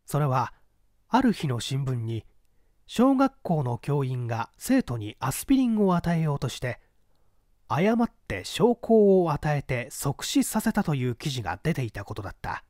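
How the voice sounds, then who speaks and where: A man reading literary fiction aloud as a scripted narration, with measured, deliberate phrasing. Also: fairly high pitch, very animated, a little mellow, no echo, studio quality. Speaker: audiobook reader, narrator of classic mystery fiction.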